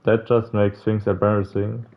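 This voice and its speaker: A man's voice: fairly low pitch, flat delivery, normal speed, muffled recording. A man hosting a visual novel playthrough, reading the game text aloud and adding casual, slangy, sometimes sweary commentary. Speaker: video game playthrough host.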